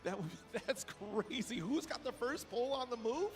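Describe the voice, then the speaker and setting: A man's voice: very high-pitched, lively intonation, a little slow, over music. A man giving bantering commentary on a fighting game tournament as an esports caster.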